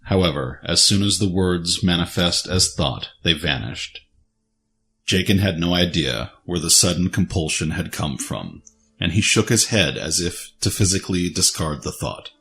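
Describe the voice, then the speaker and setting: A man's voice: low-pitched, expressive, normal speed, gravelly, a bit bright. A man reading scripted, dramatic story narration as the narrator of an audio drama. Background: faint music.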